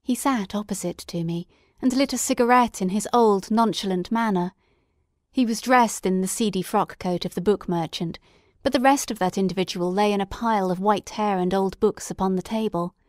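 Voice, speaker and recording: A woman playing an excitable, devoted gentleman storyteller in a dramatic audiobook, with an expressive, earnest and formal delivery. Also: medium pitch, moderate pace, no echo, clean studio sound, lightly acted. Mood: earnest narration.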